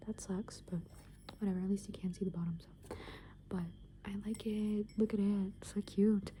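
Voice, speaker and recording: Whispering young woman, very mellow. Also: mid-range voice, fairly even intonation, moderate pace, a little hiss or hum. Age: young adult. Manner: soft unvoiced whisper, rambling with many fillers. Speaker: ASMR creator. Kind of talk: whispered ASMR soft rambling.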